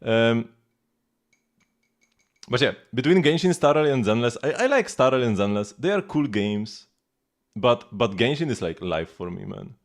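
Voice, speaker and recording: A man's voice: medium pitch, lively intonation, normal speed, long pauses. A man chatting casually as he streams a game, rambling and repeating phrases as he goes. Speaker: live streamer. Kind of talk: casual livestream chat and gameplay commentary. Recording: music playing behind the voice.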